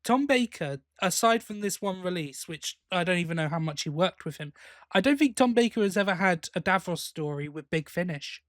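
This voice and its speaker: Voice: medium pitch, expressive, a little fast, no echo. A podcast host chats casually through a review in a mid-range voice. The delivery is opinionated, leaning into emphatic complaint. The sound is dry and close.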